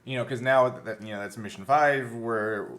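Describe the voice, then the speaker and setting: A man talking casually as a game master looking back on the show he made, halting a little as he thinks aloud. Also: mid-range voice, fairly bright tone. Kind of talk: casual behind-the-scenes recollection.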